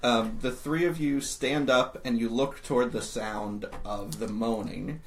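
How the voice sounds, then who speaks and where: A man running a tabletop game, chatting casually with joking asides and hesitant pauses, and narrating scenes. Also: mid-range voice, steady tone. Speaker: game master. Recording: faint background noise.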